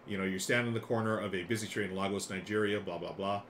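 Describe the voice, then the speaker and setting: Middle-aged man, lowish pitch, enthusiastic live-stream game host, casual delivery, noisy room recording. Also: quick pace. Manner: enthusiastic, chatty. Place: small room lined with board games. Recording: clear steady background noise.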